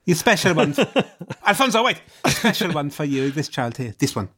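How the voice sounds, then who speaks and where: A man's voice: on the high side, very animated, normal speed, a bit bright, voice pushed up. A man voicing a shifty Italian waiter in a mock Italian accent, speaking broken, conspiratorial English. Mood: scheming. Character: shifty Italian male waiter serving a schoolboy.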